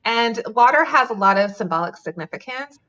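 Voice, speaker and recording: A woman's voice: on the high side, animated, fairly quick, sharp tone, dull audio. A woman author answering interview questions over a remote call, thoughtful and chatty, with frequent ums and light laughs. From Canada. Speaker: author guest.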